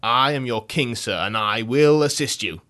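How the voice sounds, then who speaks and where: Brief: man playing a pompous king figure, booming, rallying speech, slow and grand. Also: pushed and bright, natural voice. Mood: rousing. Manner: bellowing, rousing, theatrical. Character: hypnotised man as a bombastic king.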